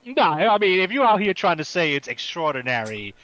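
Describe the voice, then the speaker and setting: A man's voice: high voice, very animated, fairly quick, fairly bright tone, lo-fi sound. A man co-hosting a casual podcast, talking in an informal, slangy and agreeable way. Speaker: podcast co-host. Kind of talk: casual chat.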